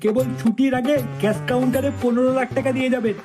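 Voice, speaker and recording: A man's voice: very high voice, steady tone, quick pace, loud music behind the voice. A man voicing a lecherous, greedy, crude male doctor in a comedy cartoon. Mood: businesslike.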